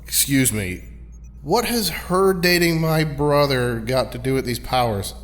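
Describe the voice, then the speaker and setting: A man's voice: medium pitch, animated, a little slow, a bit bright. A man playing a bewildered young hero who questions with agitation in an audio drama, recorded with long reverb. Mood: confused, agitated. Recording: long reverberant hall sound.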